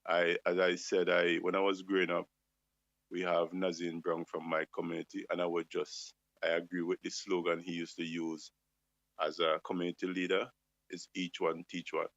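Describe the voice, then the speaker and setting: A man's voice: deep voice, completely flat delivery, normal speed, fairly bright tone, no echo. A man on a talk show, speaking with passion and firing off rhetorical questions. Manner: impassioned, asks rhetorical questions. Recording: dull lo-fi dry sound.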